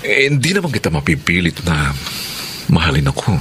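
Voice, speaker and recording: Deep-voiced, persistent professor suitor. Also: male voice, sing-song, fairly quick. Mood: flirtatious, persistent. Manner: smooth, insistent suitor. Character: smitten, persistent university professor pursuing a woman. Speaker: radio drama actor. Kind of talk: radio drama acting. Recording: clearly noisy background ambience.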